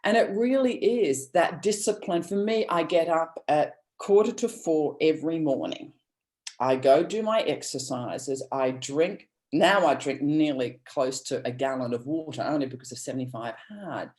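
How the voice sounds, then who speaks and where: A woman's voice: low-pitched, normal speed, darkish tone. A woman from Australia, giving an earnest, rambling motivational talk with many restarts over a video call. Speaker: guest speaker.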